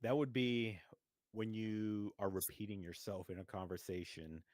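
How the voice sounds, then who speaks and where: Lower-voiced man telling animated stories. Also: normal speed, dry recording. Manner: animated storyteller, gets riled up. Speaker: radio chat show co-host. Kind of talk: casual chat.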